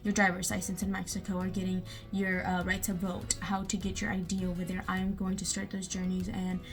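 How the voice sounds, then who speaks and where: Fast-talking young woman vlogger. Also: medium pitch, completely flat delivery, soft background music. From United States. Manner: chatty, lots of fillers like "you know" and "um". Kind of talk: casual how-to vlog.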